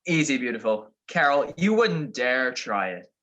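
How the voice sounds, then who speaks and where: A man's voice: mid-range voice, big pitch swings, unhurried, pushed and bright, lo-fi sound. A man playing a wisecracking detective husband in a radio crime drama, dry and sardonic. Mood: wary, defiant.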